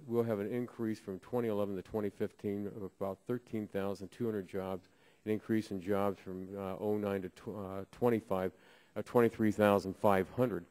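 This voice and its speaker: Halting low-voiced congressman from Ohio. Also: mellow. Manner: halting, with many filler pauses. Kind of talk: committee hearing remarks. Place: committee hearing room.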